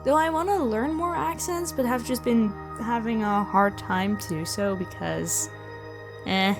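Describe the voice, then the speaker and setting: High-voiced enthusiastic woman over music. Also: unhurried. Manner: enthusiastic, expressive. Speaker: host of a voice acting channel. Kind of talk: casual question-and-answer video. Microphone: close USB microphone. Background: background music.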